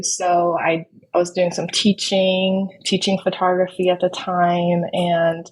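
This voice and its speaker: A woman's voice: lowish voice, poor audio. A woman in her thirties from Sweden, a native Swedish speaker, telling her own story as a guest in a casual interview. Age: 30s. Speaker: podcast guest, wedding videographer.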